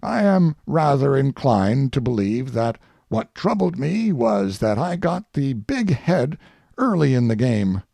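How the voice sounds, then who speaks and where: Gravelly, wry humorist read by a man, slow and droll. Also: mid-range voice, very animated, mellow, no room sound, heavily acted. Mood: wry, mock-modest. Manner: droll, deliberate, oratorical pauses. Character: wry, self-satisfied male humorist giving a banquet speech. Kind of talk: after-dinner speech reading.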